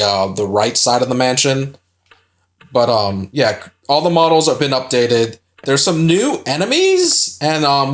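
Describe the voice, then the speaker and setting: A young man co-hosting a casual video game playthrough, rambling and swearing often, with lots of filler words. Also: mid-range voice. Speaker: co-host playing a video game.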